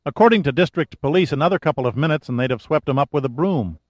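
A male narrator voicing a brisk, wisecracking young woman secretary on a phone call. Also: a little high, expressive, no room sound, clean studio sound, pitched above the performer's own voice. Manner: wisecracking, quick, efficient.

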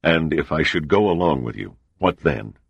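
Man doing a gruff deep admiral, blunt, lots of rasp, dry close mic. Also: expressive, normal speed, no room sound, highs cut off, heavily acted. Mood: stern. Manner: blunt and confrontational. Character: gruff, angry male admiral.

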